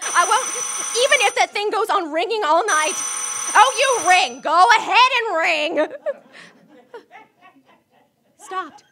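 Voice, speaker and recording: An actress playing a frantic, self-pitying, demanding invalid wife in a melodramatic radio play, with a stammering, imperious way of talking. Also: female voice, extremely high pitch, lively intonation, unhurried, background noise. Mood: anxious, fretful. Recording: live stage recording.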